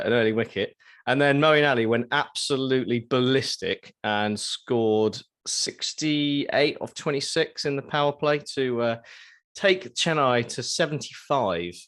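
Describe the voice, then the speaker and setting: Dry-witted man, mid pitch. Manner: dry, joking asides, relaxed. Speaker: podcast co-host. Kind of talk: casual chat.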